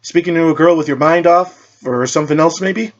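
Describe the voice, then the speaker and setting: Man doing a teen witch girl, sharp-tongued, smirking, sarcastic, lifted pitch, dull lo-fi story recording. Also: a little fast, lightly acted. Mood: stern, sarcastic.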